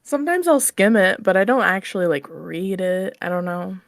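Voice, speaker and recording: A woman's voice: mid-range voice, lively intonation, moderate pace, vocal fry, no room sound, clean studio sound. A woman chatting casually and informally as an interview guest. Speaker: interview guest, audio roleplay creator. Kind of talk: casual chat.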